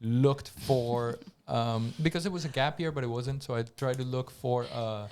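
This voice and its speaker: A man's voice: medium pitch, measured pace, darkish tone, clean tone. A man from Colombia, a guest engineer, telling his story on a casual radio talk show with many restarts and repeated words.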